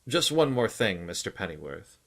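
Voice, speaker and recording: A man voicing a stout psychiatrist in an audiobook, grave and solicitous, with an earnest, deliberate, clinical manner. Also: low voice, big pitch swings, vocal fry, heavily acted. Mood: frank, measured. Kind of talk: audiobook character acting.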